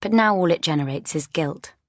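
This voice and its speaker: Lowish female audiobook narrator, very dry sound. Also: fairly quick, dry recording. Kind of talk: scripted first-person thriller narration.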